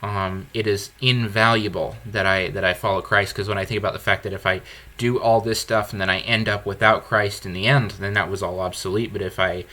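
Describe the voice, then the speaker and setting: A deep-voiced male podcast host speaking with a bright, forceful edge despite his low register. He reflects candidly and earnestly, often circling back to restart a phrase. The talk is unscripted and conversational. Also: fairly even intonation, brisk.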